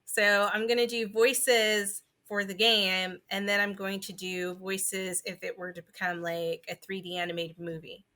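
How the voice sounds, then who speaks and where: Bright-voiced woman chatting on a podcast. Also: mid-range voice, flat delivery, unhurried, few pauses. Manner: informal, full of "like". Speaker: podcast co-host. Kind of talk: casual chat about video games.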